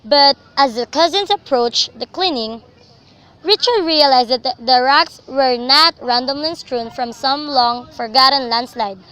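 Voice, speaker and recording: High, bright young Filipina narrator. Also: female voice, measured pace, clean tone, rough recording. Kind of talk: scripted story narration for radio drama.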